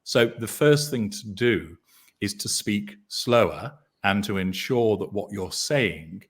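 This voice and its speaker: Man, deep baritone, measured guest commentator with dry humor. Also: animated, slightly rough. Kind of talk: casual chat.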